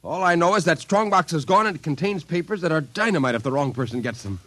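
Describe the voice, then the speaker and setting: A man's voice: on the high side, animated, fast talker, a bit bright. An actor playing a stern, exasperated middle-aged American father and army man in a 1950s radio drama. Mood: frantic, angry. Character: stern, agitated father, career army officer.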